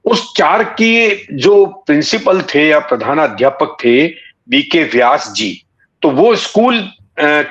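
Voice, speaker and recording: A man in his sixties from Bikaner in Rajasthan, reminiscing in an interview with halting, deliberate phrasing. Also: fairly high pitch, a bit bright. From Bikaner, Rajasthan, India. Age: 60s. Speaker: interview guest, writer and satirist. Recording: dull, muffled lo-fi audio.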